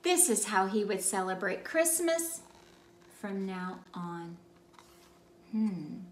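A woman in her thirties reading a storybook aloud to children in an animated, expressive way with emphatic phrasing. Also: mid-range voice, slow pace, a little hiss or hum. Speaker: children's ministry read-aloud host. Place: small indoor room by a Christmas tree. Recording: roomy indoor echo.

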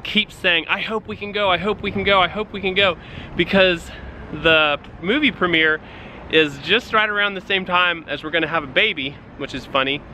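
A man's voice: sits high, moderate pace, pushed and bright, slight background noise. A man in his thirties, a father talking casually to a camera held at arm's length outdoors in town, easygoing with fillers. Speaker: vlogger, father. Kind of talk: casual vlog talk.

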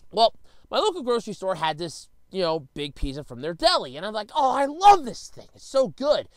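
Animated, high-pitched man on a rant. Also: a little fast, no room sound. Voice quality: slightly stuffy from a cold. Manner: exasperated, emphatic, sweary. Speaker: host of a rant video series. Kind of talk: comedic solo rant.

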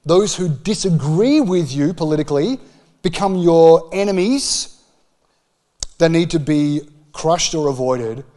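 A man in his forties with a somewhat high voice and an Australian accent preaches in a conversational way. He speaks into a handheld microphone, leaning on key phrases and pausing between them. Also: a little mellow. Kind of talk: sermon, conversational public teaching. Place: church hall with stage.

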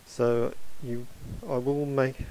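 A man giving a halting, hesitant spoken introduction to a playback. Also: medium pitch, flat delivery, mellow, low-quality recording. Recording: faint hiss and background noise.

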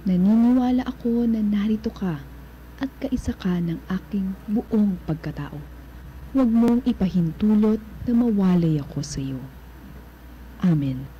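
A woman calmly leading the congregation in a devotional communion prayer. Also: medium pitch, measured pace, highs cut off, a little hiss or hum. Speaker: prayer leader.

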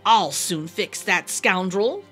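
Storybook old man, acted by a woman: kindly humble harper, unhurried, earnest, expressive pitch. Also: slightly rough, faint music behind, heavily acted. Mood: determined.

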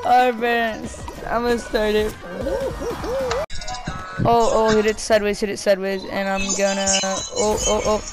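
A young man chatting excitably with friends while gaming over voice chat. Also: high voice, normal speed. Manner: excitable, shrieking laughs. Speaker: gamer. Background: game music.

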